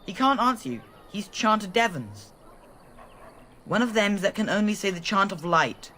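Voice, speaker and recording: Game villager: high, very animated, plain-spoken, chatty and eager. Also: male voice, normal speed, a little hiss or hum, heavily acted. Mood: helpful, chatty. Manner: folksy, plain-spoken, repeats himself. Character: chatty, helpful rustic villager, simple and eager. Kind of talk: video game character dialogue.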